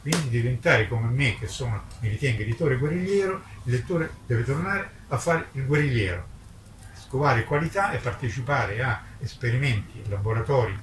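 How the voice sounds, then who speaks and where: A man's voice: mid-range voice, lively intonation, normal speed. An older man, an independent publisher, speaks off the cuff at a book presentation in an emphatic, polemical manner, repeating words for weight. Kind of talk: impromptu speech at a literary book presentation. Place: live book presentation at a festival event. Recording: distant mic, echoey, noisy ambience.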